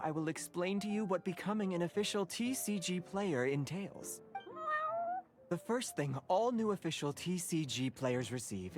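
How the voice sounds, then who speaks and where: A woman voicing a composed, courteous tavern card-game official in a video game, explaining things in a measured, instructive way. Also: on the low side. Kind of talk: video game character dialogue.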